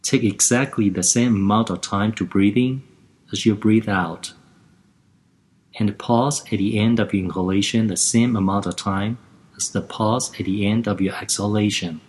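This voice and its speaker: A man guiding a lying-down relaxation and breathing exercise, giving gentle step-by-step instructions in a calm, even way. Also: lowish voice, normal speed.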